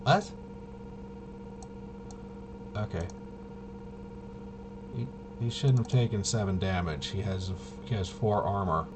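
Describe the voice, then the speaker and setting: A man's voice: low-pitched, takes it slowly, long pauses. A man giving casual gameplay commentary, thinking aloud and muttering to himself in a puzzled, self-questioning way. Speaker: player running a tabletop game demo. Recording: clearly noisy background.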